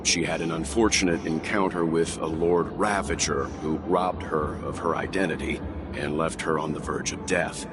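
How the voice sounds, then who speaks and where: An actor playing a calm, courteous mentor in a video game, explaining things in a polite, measured way. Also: male voice, rumbling low. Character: composed, courteous gentleman, calm older mentor of the group. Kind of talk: scripted video game voice acting. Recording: loud music behind the voice.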